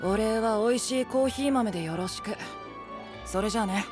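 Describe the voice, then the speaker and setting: Man as a cocky, high-voiced boyish knight. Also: fairly quick, natural voice. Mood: cocky, breezy. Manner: self-assured, flippant. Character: cocky, breezy boyish male star knight, proud tinkerer. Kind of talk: Japanese anime-style voice drama. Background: background music.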